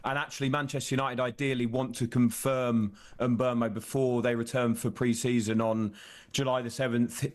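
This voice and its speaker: A man working as a football reporter, explaining transfer news in an off-the-cuff, conversational broadcast discussion. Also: mid-range voice.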